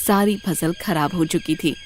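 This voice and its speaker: A woman giving scripted narration as the storyteller of an animated tale. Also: lowish voice, moderate pace, background noise.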